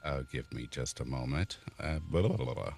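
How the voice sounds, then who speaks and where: A man with a voice he calls made for radio, a podcast guest telling his story in an animated way and acting out what others said. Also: very deep voice, normal speed, vocal fry, faint music behind. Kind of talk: casual chat on a podcast.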